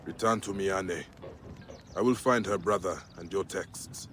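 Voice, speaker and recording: An actor playing a grave, resolute warrior protector of ancient Egypt in a video game, speaking in measured, solemn phrases. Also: male voice, sits low. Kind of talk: video game cutscene acting. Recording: game music behind the voice.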